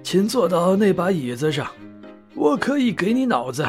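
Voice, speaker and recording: A man voicing a kindly but self-important old wizard in a children's storybook, with hesitant, drawn-out phrasing. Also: high voice, very animated, soft background music, pitched above the performer's own voice. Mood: hesitant, explaining.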